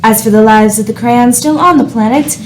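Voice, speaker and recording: Cool AI ship computer, voiced by a woman, highish pitch, polite, unsympathetic, slight noise. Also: a little fast, a little mellow, close to an everyday voice. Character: calm, unsympathetic ship's computer with artificial intelligence. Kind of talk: comedic radio play acting.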